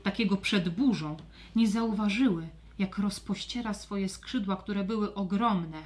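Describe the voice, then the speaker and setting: A woman narrating a scripted children's story in a dramatic, expressive manner. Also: mid-range voice, a little slow.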